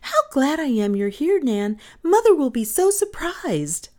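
A woman's voice: very high-pitched, lively intonation, measured pace, dark tone, voice pushed up. A woman voicing an eager, sweet little girl in a storybook, gushing and exclaiming. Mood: delighted, excited.

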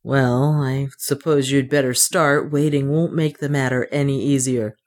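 Woman acting a low, grave male adventurer. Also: moderate pace, a little mellow. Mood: doubtful. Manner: grave, firm. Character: grim, steady male adventurer, kindly but firm. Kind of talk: dramatised audiobook dialogue.

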